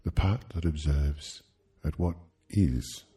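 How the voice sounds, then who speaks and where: A man leading a guided sleep hypnosis, speaking soothingly and gently in short, halting phrases with long pauses. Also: a bass voice, husky.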